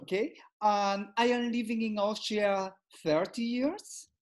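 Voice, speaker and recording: A man in his fifties from Peru, answering interview questions in halting English and often repeating words. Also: sits high, fairly even intonation. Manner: halting, repeats words, non-native phrasing. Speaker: guest psychoanalyst.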